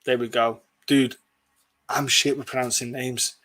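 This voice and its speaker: A man's voice: medium pitch, little pitch movement, unhurried, a bit bright. A man hosting a casual sports prediction podcast, talking bluntly in a rambling way and swearing freely. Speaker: podcast host.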